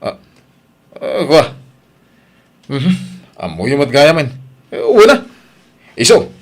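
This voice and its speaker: A man's voice: fairly high pitch, huge pitch swings, slow pace, exaggerated voice. Man acting blustering father, swooping delivery. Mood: defiant, angry. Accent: Ilocano. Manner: brusque, blustering. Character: brusque, defiant philandering father leaving his family. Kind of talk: Ilocano radio-style drama acting.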